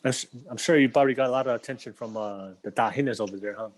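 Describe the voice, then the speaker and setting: Chatty host who laughs a lot. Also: male voice, mid-range voice, racing. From Tonga. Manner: rambling, many fillers, laughs often. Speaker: podcast host. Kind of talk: casual interview chat.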